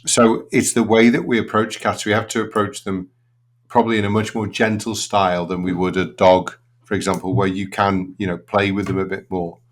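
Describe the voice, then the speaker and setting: A man hosting a veterinary podcast, asking questions in a relaxed, meandering way full of asides. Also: lowish voice, fairly quick. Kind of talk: conversational interview hosting.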